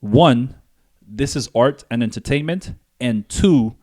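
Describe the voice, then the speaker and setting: A man from Guyana co-hosting a casual opinion discussion, building his points deliberately with asides and questions. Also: medium pitch, lively intonation, dark tone. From Guyana, moved north when young. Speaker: podcast co-host.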